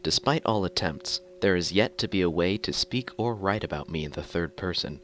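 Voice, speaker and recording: A man narrating scripted horror fiction in the first person, reading in a formal, measured way like an official document. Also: sits low, expressive, a bit bright, slight background noise.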